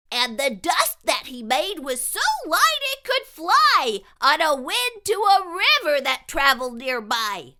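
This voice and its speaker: A woman voicing an excitable young boy who spins a tall tale in dramatic, sing-song rhyme for a storybook read-aloud. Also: very high voice, pitched above the performer's own voice, full character voice. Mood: eager storytelling.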